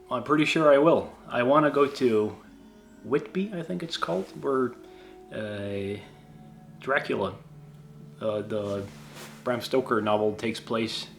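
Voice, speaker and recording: A Swedish man with an accent, a YouTube creator casually answering viewer questions, halting and self-deprecating with many restarts. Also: mid-range voice. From Sweden.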